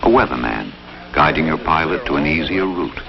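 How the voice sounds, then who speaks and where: A male announcer reads a scripted commercial in an extremely low, creaky voice. His pitch moves expressively, and the vintage TV audio is dull with clear noise. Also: lively intonation, fairly quick. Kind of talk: scripted advertising narration. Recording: muffled noisy 1960s TV audio.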